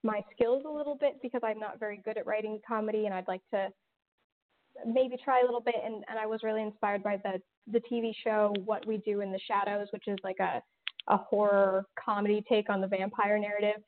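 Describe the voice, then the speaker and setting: A woman's voice: mid-range voice, steady tone, a little fast. A woman talking casually as an interview guest, explaining things in a conversational way. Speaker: podcast guest, audio drama writer. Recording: thin phone-like call audio.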